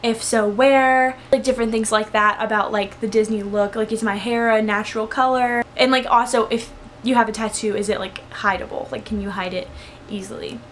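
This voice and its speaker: A woman's voice: a little high, fairly even intonation, brisk, slight background noise. A young woman vlogger sharing tips in a casual, rambling, chatty storytime style, with lots of "like" in her speech. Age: young adult. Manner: rambling, informal, heavy use of "like". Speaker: vlogger giving interview tips.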